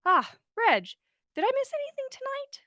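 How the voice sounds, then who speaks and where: A woman's voice: very high-pitched, huge pitch swings, moderate pace, sharp tone, no echo, studio quality, voice pushed up, full character voice. A woman voicing a kindly young male wizard botanist in a read-aloud story, affectionate and a little befuddled. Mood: fond, befuddled. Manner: affectionate, mildly befuddled, self-deprecating.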